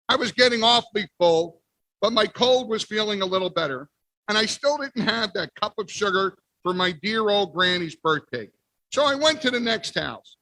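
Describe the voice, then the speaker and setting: A man reading a picture book aloud as the wolf, playful with big pauses. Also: high-pitched, sharp tone, no room sound, poor audio. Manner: playful, exaggerated sneezes and storyteller pauses. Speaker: guest reader. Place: library event.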